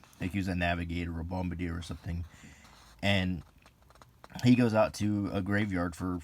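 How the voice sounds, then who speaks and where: A man with a very low-pitched voice talks in an unscripted, musing way, as in an ASMR video. He pauses often and picks his words haltingly. There is some background noise in the recording. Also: darkish tone. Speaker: video host reviewing an old magazine. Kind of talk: unscripted ASMR-style commentary while browsing a magazine.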